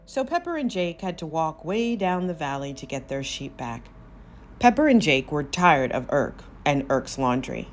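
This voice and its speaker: A woman narrating a children's story aloud, expressive, with drawn-out emphasis on key words. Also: low-pitched, slight background noise. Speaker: storybook reader.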